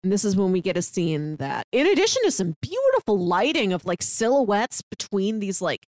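Woman, podcast co-host, lively rising and falling pitch, casual and chatty, sarcastic asides. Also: moderate pace, no echo, clean studio sound. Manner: chatty, lots of "like" fillers, playful sarcasm. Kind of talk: casual movie discussion.

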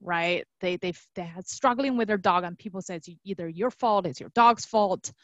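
A young woman from China chats as a guest expert in a casual interview. Her pitch sits in the middle for a woman, and she explains things in an animated way, tagging her points with quick check-in questions. The recording is dry, with very little room sound. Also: dry recording, highs cut off. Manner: animated storytelling, frequent tag questions, quotes imagined clients. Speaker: guest, dog trainer.

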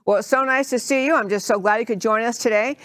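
A woman's voice: on the high side, fast talker, noisy background. A woman hosting a talk show, introducing her guest with chatty enthusiasm and frequent fillers. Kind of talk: interview show introduction and questions.

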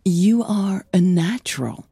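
An elegant, teasing opera diva in an audio romance, played by a woman narrator. She is measured and knowing, with very slow phrasing and a mellow, low-leaning voice. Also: lively intonation, vocal fry, breathy edge, no echo, studio quality, heavily acted. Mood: assured, encouraging.

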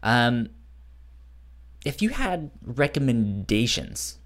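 Male co-host for a casual discussion show, conversational, with a wide-ranging pitch. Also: very animated, slow pace. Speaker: podcast co-host. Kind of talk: casual chat, prompting questions.

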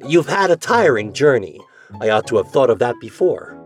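A man voicing a sinister, cunning gentleman from an old horror story, eerily calm yet intense in manner. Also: medium pitch, normal speed, faint music behind, lightly acted. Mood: cunning courtesy. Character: sinister, cunning male host obsessed with raw meat.

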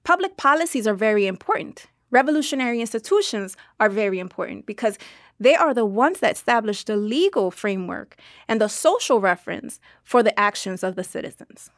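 A woman with a fairly high voice delivers a translated interview answer in a measured, explanatory manner. The sound is close and dry, with hardly any room on it. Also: animated, dry recording. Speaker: voice-over translator for an interviewed scholar.

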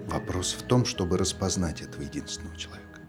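A low, soft male voice over clear background music. a calm, earnest man who speaks gently and persuasively in a stage play. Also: quick pace. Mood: tender. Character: calm, earnest man, later a taciturn father.

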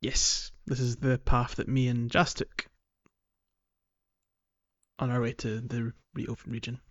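A man's voice: mid-range voice, little pitch movement, unhurried, fairly bright tone, dull audio. A man co-hosting a gaming podcast, chatting casually in a rambling, jokey way. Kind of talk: casual chat about a video game.

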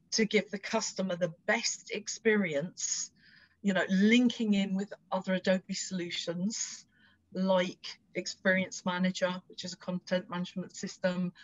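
A woman's voice: mid-range voice, highs cut off. A woman with an Irish accent, a guest speaking in a casual, encouraging interview chat. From Ireland.

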